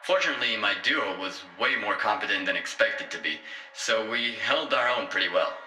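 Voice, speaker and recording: A man playing a wisecracking hacker co-host, excitable and sardonic, in a cyberpunk audio drama. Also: lowish voice, normal speed, reverberant, slight background noise, low-quality recording. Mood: sardonic banter. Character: wisecracking young male hacker turned game co-host.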